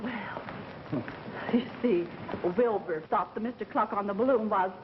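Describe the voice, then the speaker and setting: A woman's voice: medium pitch, a little slow, lightly acted. An actress playing an embarrassed, overly polite mother in a 1930s comedy film. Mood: flustered. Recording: muffled, noisy 1930s film soundtrack.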